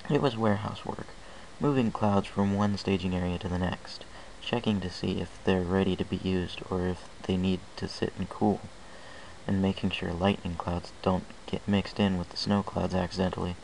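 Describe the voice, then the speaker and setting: A man narrates fan fiction in the first person. His voice is fairly low with a gravelly creak. The homemade recording carries some noise. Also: poor audio.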